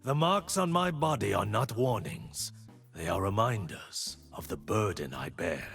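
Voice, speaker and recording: A blind martial monk for a video game, stern and wise. His delivery is slow and creaky, solemn in every phrase, yet it rises and falls dramatically. Every line lands like a measured teaching. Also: lowish voice, animated, a bit bright, soft background music, full character voice. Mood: grave. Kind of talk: dramatic game character voice lines.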